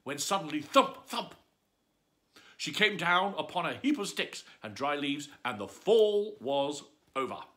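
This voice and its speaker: A man's voice: a little high, big pitch swings, measured pace, bright, forceful tone. A man reading a bedtime story aloud, playful and adding asides to the listener.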